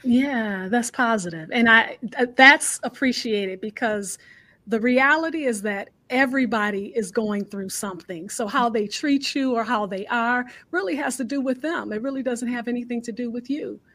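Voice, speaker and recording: Higher-voiced woman hosting a call-in show. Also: normal speed, a bit bright. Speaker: host of a radio storytelling show. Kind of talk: live listener call-in interview hosting.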